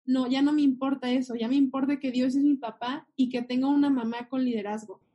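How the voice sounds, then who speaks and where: A woman's voice: sits high, fairly even intonation, a little fast, dark tone, muffled recording. A young woman sharing a heartfelt personal faith testimony as a guest. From Mexico. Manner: earnest, heartfelt storytelling.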